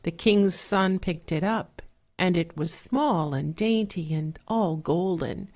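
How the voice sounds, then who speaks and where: A soft-spoken woman narrating a fairy tale in a measured, relaxing way, with an 8D surround panning effect. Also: fairly low pitch. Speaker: storyteller.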